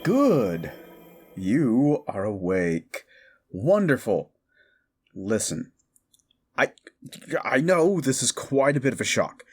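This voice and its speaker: A man playing a giddy, mischievous necromancer in a comedic audio roleplay, rambling, stammering and correcting himself excitedly. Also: mid-range voice. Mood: awkward, reassuring.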